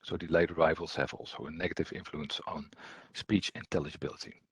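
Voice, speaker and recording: A man giving an explanatory technical presentation as a trainer, unscripted, in a halting, hesitant way with repeated words. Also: a bass voice, steady tone, a bit bright, husky, dry recording.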